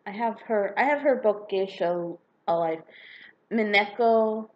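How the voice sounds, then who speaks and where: A young woman talks things through at an unhurried, measured pace, her voice a little hoarse from a cold. She is frank and opinionated, with the odd swear. The sound is dull and lo-fi, like a webcam in a living room. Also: medium pitch. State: slightly hoarse, getting sick. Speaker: book vlogger. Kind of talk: casual opinion vlog. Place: living room with a couch, indoors.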